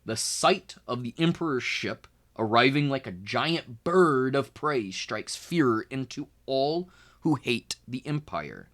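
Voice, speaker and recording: A man reading a children's book aloud as a tutor, in expressive educational narration with deliberate phrasing and emphasis on key words. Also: mid-range voice.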